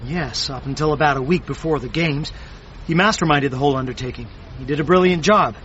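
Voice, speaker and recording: An actor playing a brisk, earnest, well-informed man in a radio drama, speaking in a matter-of-fact way. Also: fairly high pitch, a bit bright, lightly acted. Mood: grave, informative. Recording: muffled lo-fi recording, noisy street ambience.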